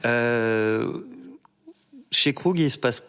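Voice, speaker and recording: A man's voice: mid-range voice, drawn-out words, a bit bright, clean tone. A man hosting an interview podcast, asking questions hesitantly with many fillers. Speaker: podcast host.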